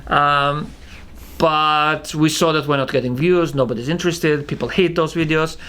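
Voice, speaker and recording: A man's voice: fairly high pitch, fairly even intonation, pushed and bright, smooth. Man with a thick Russian accent. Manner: halting, many fillers and restarts. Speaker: channel co-founder hosting Q&A. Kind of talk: casual Q&A chat.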